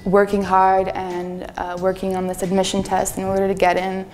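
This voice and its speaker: A woman's voice: mid-range voice, completely flat delivery, normal speed, a little mellow, slight background noise. Earnest young woman student. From United States. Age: young adult. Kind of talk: testimonial interview. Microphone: lapel. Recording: reverberant hall with light music.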